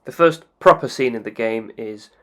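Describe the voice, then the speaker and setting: A man's voice: medium pitch, moderate pace. A man giving scripted, explanatory video game commentary in a matter-of-fact manner. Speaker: video game analyst narrator.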